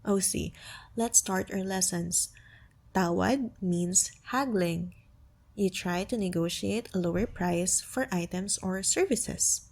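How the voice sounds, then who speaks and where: A young woman with a Filipino accent playing an affectionate, playful girlfriend in a soft ASMR roleplay, patiently tutoring her partner. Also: mid-range voice, vocal fry. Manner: teasing, tender, patient, explains words slowly. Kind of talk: soft ASMR roleplay, Tagalog lesson mixed with English.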